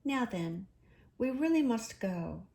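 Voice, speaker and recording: A woman voicing a practical, kindly male water rat in a children's story, brisk and reassuring. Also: fairly high pitch, very animated, gravelly, warm tone, pitched above the performer's own voice, heavily acted. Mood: soothing, practical.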